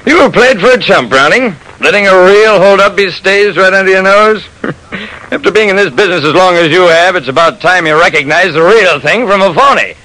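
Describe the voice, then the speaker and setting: An actor playing a gruff, scornful man of authority in a classic radio drama, blunt and scolding. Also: high voice, lively intonation, normal speed, pushed and bright, rough recording. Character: gruff, humorless, scornful male authority figure.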